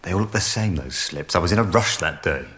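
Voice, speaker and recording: A man's voice: deep voice, animated, a little fast, a bit bright, a little hiss or hum. An actor playing a driven, bossy male project leader in a radio drama, blunt and commanding. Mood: pleading, defensive. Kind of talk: naturalistic radio drama acting.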